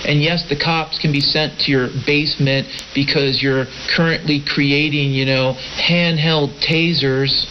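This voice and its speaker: A man's voice: fairly high pitch, fairly even intonation, measured pace, few pauses, over music. A man hosting an informal radio monologue, talking to his listeners in a folksy, familiar way. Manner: folksy, addresses listeners as friends, brothers and sisters. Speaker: host of a shortwave podcast broadcast. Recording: hissy, muffled shortwave radio broadcast.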